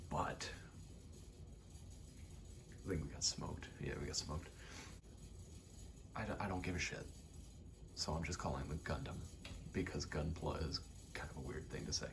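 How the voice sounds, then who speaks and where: A young adult man in a quiet, casual ASMR-style ramble, speaking candidly and hesitantly, often trailing off. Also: a bass voice, vocal fry, background noise. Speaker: video maker.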